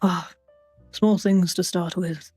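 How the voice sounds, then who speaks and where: A brooding monster man acted out by a woman narrates his past in a storybook reading. The voice is deep and strained, dark and very even, solemn and halting. It is recorded dry with faint music behind. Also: breathy edge, no echo. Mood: grave, regretful. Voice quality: deep, throat-strained put-on voice.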